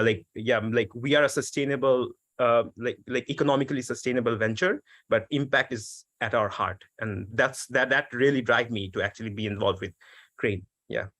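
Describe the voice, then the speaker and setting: A man's voice: medium pitch, a little fast, fairly bright tone, no echo, clean studio sound. A young man from Bangladesh answering on a webinar panel, talking earnestly with lots of "like" fillers and restarts. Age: young adult. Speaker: startup team member, economics student. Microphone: video call.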